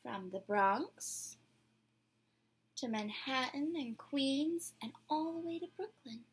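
A woman in her twenties reading a picture book aloud to children, with playful, deliberate, dramatic phrasing, recorded at home in a small room. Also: high voice. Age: 20s. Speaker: children's read-aloud presenter.

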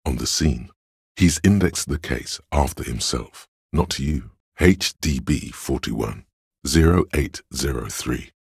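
Very deep, gravelly voice of logic. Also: male voice, no echo, clean studio sound, exaggerated voice. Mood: analytical. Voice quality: gravelly, husky. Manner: measured, deliberate, coolly reasoning. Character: inner voice of logic in a detective's mind, coolly analytical. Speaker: game voice actor. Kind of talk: scripted video game narration.

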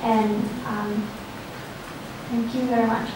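A woman moderating a class session, giving unhurried instructions with many ums. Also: a little high, little pitch movement, a little mellow, slight background noise. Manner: unhurried, filler-heavy, friendly instructions. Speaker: event moderator. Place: large conference room. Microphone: handheld mic at podium, far camera. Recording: echoey hall heard from far off.

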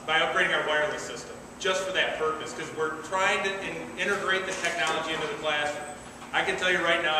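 A man, a school administrator, earnestly answering a parent's questions, repeating words as he goes. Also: on the high side. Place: large school cafeteria. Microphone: far camera. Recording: echoey hall from far off, background noise.

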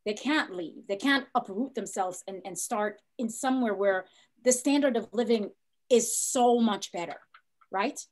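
A woman's voice: medium pitch, measured pace. A middle-aged woman moderating a panel on a video call in an affirming, encouraging manner. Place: plain indoor room. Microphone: computer on a video call.

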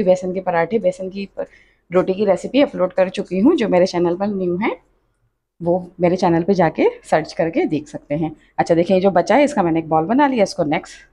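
Woman, cooking tutorial voice-over, chatty, instructive, medium pitch. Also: warm tone. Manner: chatty, instructive, often says "achha" and "dekhiye". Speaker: cooking channel host. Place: home kitchen.

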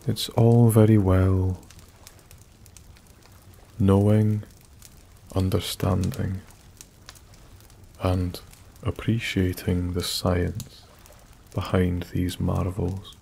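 A Scottish man narrating a bedtime story in a soft-spoken, soothing ASMR style, with long pauses. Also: low-pitched, little pitch movement, gravelly. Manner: slow, soothing, hushed delivery with long pauses. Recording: faint background noise. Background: soft nature ambience.

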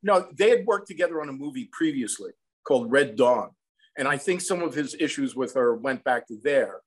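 A man's voice: mid-range voice, animated, fairly quick, husky. An American man in his sixties telling anecdotes in a reflective, conversational interview style over a video call. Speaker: songwriter and film composer, interview guest. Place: small room at home, indoors.

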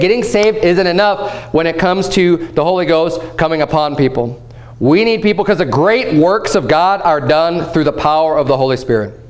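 Man in his thirties, pastor, lively conversational sermon, fairly high voice, church platform. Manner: animated, gesturing, conversational preaching. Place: church platform before a painted backdrop.

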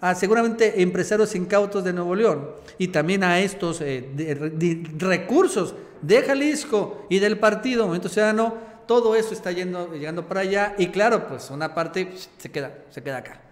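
A man's voice: sits high, normal speed, few pauses, in a large hall. A Mexican man in his forties hosting an informal political talk show in a teasing, colloquial way. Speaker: host. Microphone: desktop microphone in front of him.

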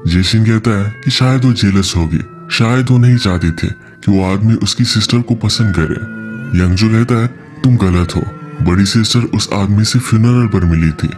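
A man's voice: fairly low pitch, moderate pace, a little mellow. A man giving a scripted narration that recaps a film's story as a movie explainer. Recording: background music under voice-over. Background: music.

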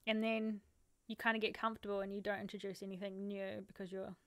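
A woman co-hosting a casual podcast chat, talkative and quick to laugh. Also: medium pitch, flat delivery, smooth, no echo. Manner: chatty, laughs easily. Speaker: podcast co-host.

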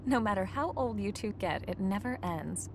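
An actress playing a gentle, gently teasing young wife and mother in a video game cutscene. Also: medium pitch, animated, normal speed, background noise, natural voice. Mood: wry concern.

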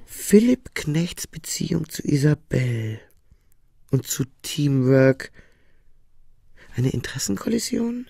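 An actress playing a demanding, businesslike female senior prosecutor with a brisk, official manner in a radio drama. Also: deep voice, sing-song, creaky, mellow, breathy edge, heavily acted.

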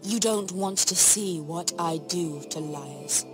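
An actress playing an imperious, suspicious young queen in a dramatic video game, speaking in a measured, regal and threatening way. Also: fairly low pitch, music in the background. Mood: cold threat.

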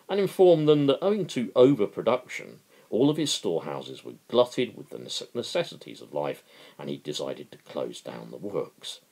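A middle-aged man giving a dramatic reading and impassioned political commentary, emphatic and deliberate, with dramatic pauses. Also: on the low side, darkish tone, low-quality recording. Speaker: amateur reader and political commentator. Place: small living room at home, indoors.

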